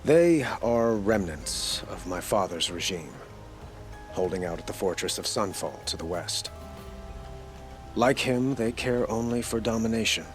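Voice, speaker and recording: A man playing an earnest, troubled young king in a video game, speaking in a measured, courtly, formal way. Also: low voice. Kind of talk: video game cutscene acting.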